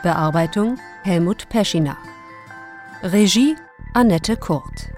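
A woman's voice: lowish voice, animated, slow, a little mellow, soft background music. A woman announcing titles and credits from a script for a radio drama.

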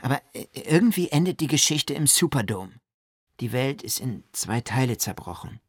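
A haunted man recounts a catastrophe in a radio drama monologue. His sentences are short and stark, his pitch is mid-range, and the sound is dry and intimate. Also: big pitch swings, moderate pace, breathy edge, dry recording. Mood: bitter, resigned. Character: shaken male survivor of a flood disaster, recounting it. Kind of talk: dramatic first-person monologue.

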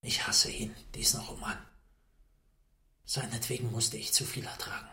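An actor playing a weary, embittered, broken writer in a radio drama, in a roomy echo. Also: male voice, mid-range voice, fairly even intonation, normal speed, bright, forceful tone, in a whisper. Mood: bitter, weary.

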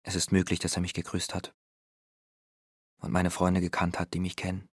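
A man playing a guarded young man giving testimony in a radio play, husky and flat. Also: low voice, normal speed, no room sound, studio quality. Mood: guarded, subdued.

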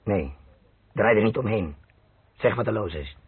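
A curt, menacing male crook in an old radio play. His voice is low and strongly husky, with sarcastic impatience. It sounds thin, like a telephone. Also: animated, unhurried, no echo, narrow, tinny audio, full character voice. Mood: impatient, menacing. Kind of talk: radio drama acting.

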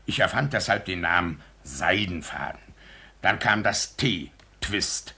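A man's voice: low-pitched, animated, measured pace, creaky, sharp tone, exaggerated voice. An actor playing a pompous, bullying parish beadle who fawns on his superiors, in officious 1950s German radio drama style. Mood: self-important. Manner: officious, self-important.

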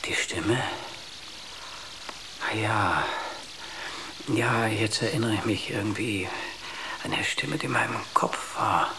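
A man playing a dazed, alarmed spaceship crewman in a German sci-fi radio drama, speaking haltingly and urgently. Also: fairly low pitch, gravelly, background noise. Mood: bewildered.

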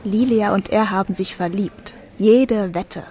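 A young woman playing a fragile, defiant sixteen-year-old daughter in a radio drama, with a breathy edge to her voice. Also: mid-range voice, measured pace, dark tone, a little hiss or hum, close to an everyday voice. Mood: eager, insistent.

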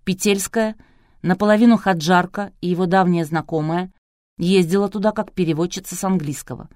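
A resolute man giving grave news, read by a woman in an audiobook. He is quietly confident, measured and firm, and the recording is close and dry. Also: fairly low pitch, fairly even intonation, dry recording, natural voice. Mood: grave, assured.

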